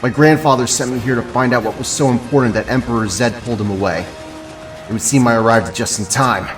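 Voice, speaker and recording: Male actor as alien prince, earnest, formal, courteous, medium pitch, faint music behind, audio drama. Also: fast talker, natural voice. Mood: noble, earnest.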